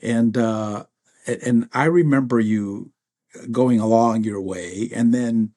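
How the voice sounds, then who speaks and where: A man's voice: medium pitch, takes it slowly, warm tone. A man hosting an interview as a pastor, speaking in a reflective way with frequent restarts. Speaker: pastor and host. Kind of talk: interview conversation.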